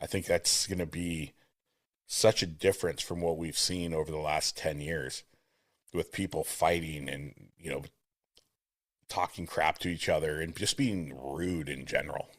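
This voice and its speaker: Podcast host, male, very low voice, dry close sound, earnest and upbeat chat. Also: fairly even intonation, unhurried, no room sound. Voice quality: deep voice. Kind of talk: casual conversational interview. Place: home room. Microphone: studio mic with compressor, at home.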